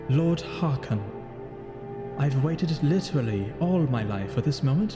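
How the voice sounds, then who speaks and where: A man voicing a lonely, brooding thousand-year-old vampire who narrates his tale in solemn, formal, theatrical phrasing. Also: a little high. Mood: solemn, uneasy. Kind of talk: scripted in-character story narration over gameplay. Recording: echoing hall-like reverb, music behind. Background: music.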